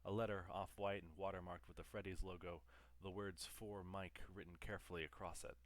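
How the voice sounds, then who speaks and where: Low, dry male story narrator. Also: fairly even intonation, brisk, dry recording. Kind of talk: scripted audio drama narration.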